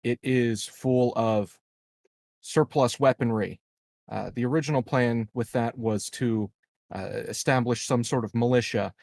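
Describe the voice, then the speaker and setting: A man playing a tabletop game, talking casually and haltingly with plenty of swearing. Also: mid-range voice, gravelly, a little mellow. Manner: profane, halting.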